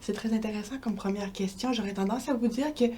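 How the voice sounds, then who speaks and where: Québécois woman researcher, calm and articulate. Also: medium pitch, fast talker, a little hiss or hum. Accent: Québécois French. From Quebec. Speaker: researcher interviewed as guest. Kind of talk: explanatory interview answers.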